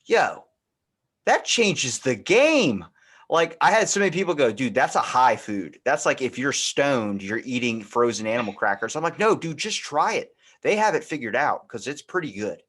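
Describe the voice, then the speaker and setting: A man's voice: medium pitch, big pitch swings, normal speed, fairly bright tone, slightly rough. A man hosting a podcast in casual, comedic chat, rambling and slangy as he jokes. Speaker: podcast host.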